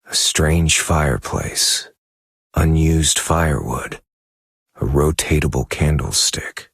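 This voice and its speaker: An actor playing a brooding, troubled amnesiac novelist in a game, narrating a dramatic monologue with a gravelly, husky voice and a grave, measured manner. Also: male voice, a bass voice, dry recording, clean studio sound, exaggerated voice. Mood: measured, intent.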